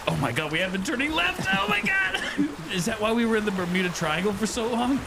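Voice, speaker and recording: A man's voice: high-pitched, very animated, fast, gravelly, heavy background noise. A man co-hosting a comedy podcast, hamming it up in an improvised sketch with goofy, exaggerated lines and frequent laughter. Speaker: podcast co-host.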